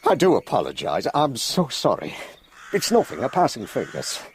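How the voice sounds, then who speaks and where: A man's voice: mid-range voice, huge pitch swings, moderate pace, a little hiss or hum. An actor playing a nervous, deferential provincial man in a radio drama, stiffly polite, clipped and evasive. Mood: shaken, evasive.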